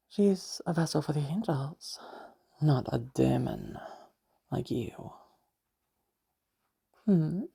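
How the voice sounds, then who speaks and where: Woman acting a deep, gravelly, menacing pious mother. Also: warm tone, heavily acted. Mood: mocking menace. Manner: condescending, taunting, drawn-out pauses and hums. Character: cruel, pious, domineering wealthy mother, contemptuous and menacing. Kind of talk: amateur audio roleplay monologue.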